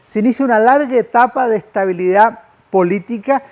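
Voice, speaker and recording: A man delivers a public lecture. His manner is didactic and measured. He uses formal phrasing as he explains things to his listeners. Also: very high-pitched, a little mellow. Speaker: lecturer, historian. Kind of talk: public lecture on history, with slides.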